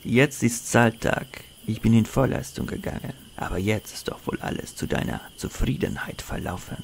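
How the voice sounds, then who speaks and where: An actor plays a sinister male criminal in a crime audio drama. His gravelly voice is cold and self-assured, with faint noise and night insects behind it. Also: on the low side, normal speed. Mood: demanding. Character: cold, menacing hired criminal, a smug kidnapper.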